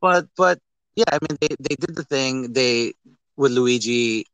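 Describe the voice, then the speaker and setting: A man with a bright, forward voice hosts a casual movie podcast. He talks in an animated, conversational way and acts out little quotes. The sound is close and dry. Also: medium pitch, measured pace, smooth, no room sound, low-quality recording. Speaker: podcast host.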